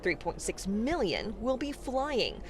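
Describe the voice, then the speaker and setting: A woman's voice: a little high, big pitch swings, slow. An American woman reporting the news live from outdoors in a scripted broadcast style. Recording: noisy outdoor location, traffic ambience.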